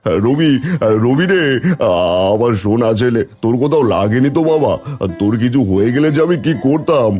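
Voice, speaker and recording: Man acting a dramatic village landowner, very animated. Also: medium pitch, a little fast, close to an everyday voice. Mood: tearful relief. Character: bossy village landowner, an emotional doting father. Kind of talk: Bengali cartoon fairy tale dubbing.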